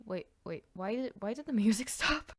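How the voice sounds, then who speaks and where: A nineteen-year-old from Canada whose first language is Russian, telling a story in a casual, animated, self-deprecating vlog. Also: mid-range voice, moderate pace. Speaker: vlogger.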